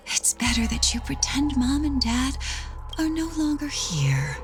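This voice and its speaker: A woman's voice: high voice, big pitch swings, measured pace, faint music behind. A woman acting a sorrowful, apologetic, tender character in a video game, sounding resigned. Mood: sorrowful, hushed.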